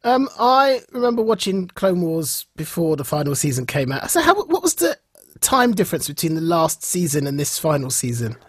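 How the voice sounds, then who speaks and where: A man's voice: on the high side, sing-song, normal speed, slightly rough, no echo, studio quality. A man co-hosting a podcast, chatting casually about shows in an enthusiastic, emphatic way. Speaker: podcast co-host. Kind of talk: casual chat, reviewing TV shows.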